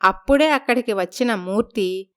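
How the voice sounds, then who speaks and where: A woman narrating a family story in a steady, matter-of-fact way. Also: mid-range voice, moderate pace, smooth.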